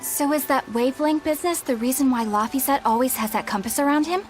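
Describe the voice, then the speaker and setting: A woman's voice: high voice, fast, close to an everyday voice. A woman playing an earnest young female knight in a video game, prim, skeptical and easily flustered. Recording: game music under the voice.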